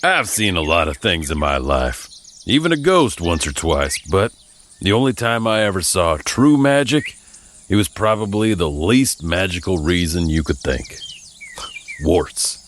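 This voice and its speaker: Male storyteller, American, low voice with lively swings, folksy and wry, noticeable background noise. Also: a little slow. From Virginia, United States. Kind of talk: first-person narrated story.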